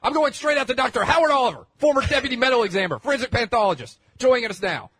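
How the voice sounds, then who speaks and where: A man acts out a pushy female legal-news host in a parody reading. The voice is high and husky, racing through clipped headlines and insistent questions, very dry and close. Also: expressive, very fast, fairly bright tone, no echo, heavily acted. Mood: insistent, grilling. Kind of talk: parody dramatic reading of cable news show.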